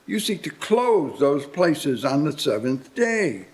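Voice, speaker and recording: A man acts an elderly miser in a live radio play. His voice sits fairly high and swings widely. He is anxious and defensive, stammering as he pleads. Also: sing-song, slow pace. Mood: indignant.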